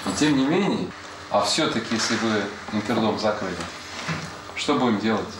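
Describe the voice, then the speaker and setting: A man with a fairly low voice, Portuguese by birth, speaks to a class of children in an echoey classroom with plenty of background noise. He explains patiently and keeps asking them questions back. It is a relaxed, unscripted chat. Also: normal speed. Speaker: former student visiting a class. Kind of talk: casual talk with schoolchildren. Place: school classroom.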